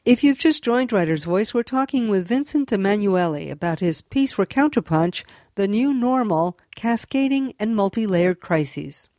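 Older woman, radio host, mellow dark voice, thoughtful, dry studio sound. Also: mid-range voice, expressive, moderate pace, hardly any pauses, no room sound. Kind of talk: radio interview.

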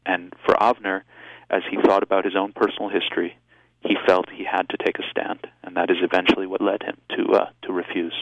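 A man born in Israel and raised in the United States, speaking, gives measured, reflective answers as an author in an interview, with frequent restarts and ums. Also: low voice, flat delivery, phone-quality sound.

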